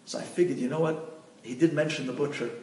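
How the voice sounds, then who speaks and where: Man voicing a hesitant grieving widow, hushed, higher-pitched voice, distant mic. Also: steady tone, quick pace, a little hiss or hum, natural voice. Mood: earnest. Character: grieving widow, anxious and earnest. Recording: echoey room, distant mic.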